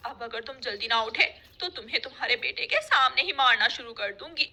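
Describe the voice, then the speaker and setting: A woman voicing a fed-up crow wife in a cartoon story, nagging and sharp-tongued. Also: on the high side, animated, fairly quick, full character voice. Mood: exasperated.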